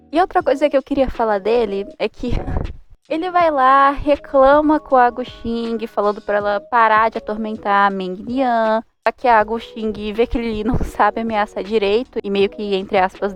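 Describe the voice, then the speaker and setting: High-voiced young Brazilian woman. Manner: informal, expressive, exclaiming in mock disbelief. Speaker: manhwa reviewer. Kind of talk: casual review commentary. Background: faint music.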